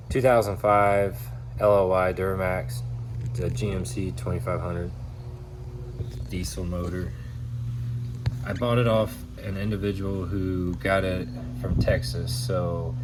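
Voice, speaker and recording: A man in his thirties with a low, stuffy voice explains a truck repair in chatty, folksy, loosely worded talk. He records on a phone held close in his home garage. Loud background noise fills the track. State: stuffy from a head cold. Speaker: DIY auto repair host. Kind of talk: casual how-to explanation. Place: home garage, by an open door. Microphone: phone camera close by, at arm's length.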